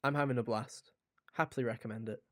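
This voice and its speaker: A man's voice: medium pitch, steady tone, fast, no room sound, clean studio sound. A man co-hosting a podcast, chatting casually and enthusiastically about video games with informal slang. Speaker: podcast co-host.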